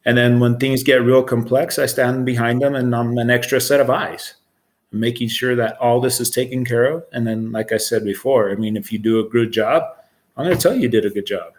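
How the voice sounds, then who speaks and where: American male, 40s, mid-pitched, matter-of-fact supervisor talking informally about the job. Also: fairly even intonation, fairly quick. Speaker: air traffic control supervisor. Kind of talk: informal interview explanation of own job.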